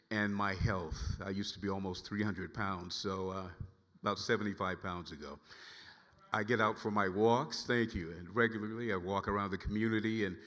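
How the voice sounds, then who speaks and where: Bright-voiced man in his sixties, low pitch. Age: 60s. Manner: grateful, earnest, thanks the applause. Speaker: award speaker, former radio host. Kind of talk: prepared speech at a gala. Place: large banquet hall. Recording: long hall reverb.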